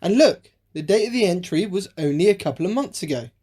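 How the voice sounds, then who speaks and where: Dim but cocksure female sidekick played by an actress, low mellow voice, comic radio play delivery. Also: lively intonation, fairly quick, husky. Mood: defensive, eager. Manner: confidently wrong, comic. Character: dim, gullible, cocksure sidekick to an amateur sleuth. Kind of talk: amateur radio play acting.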